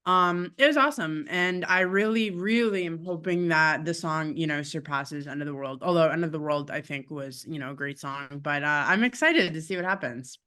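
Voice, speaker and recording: A woman with a low voice, a guest musician in a casual interview, chatty and laughing often with many fillers. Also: moderate pace, few pauses, bright, forceful tone.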